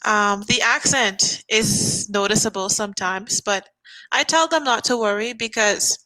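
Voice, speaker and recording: A woman's voice: mid-range voice, a little slow, sharp tone, low-quality recording. A woman from the Bahamas working as an online English tutor, chatting casually and laughing often on a video call.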